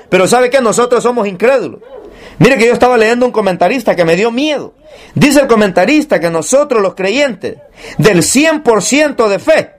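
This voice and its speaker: High-pitched male preacher exhorting his congregation. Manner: exhorting, rhetorical questions, addresses listeners directly. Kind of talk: evangelical sermon to a congregation.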